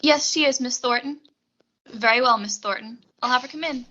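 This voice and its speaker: A woman's voice: high voice, normal speed, lightly acted. A teenage girl playing a polite office receptionist in a 1940s suspense radio play. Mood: businesslike. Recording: muffled, noisy home voice track.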